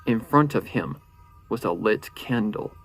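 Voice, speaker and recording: A man's voice: fairly low pitch, animated, measured pace. A man narrating a horror story in a suspenseful, theatrical way. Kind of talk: dramatic horror fan-fiction narration. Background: faint music.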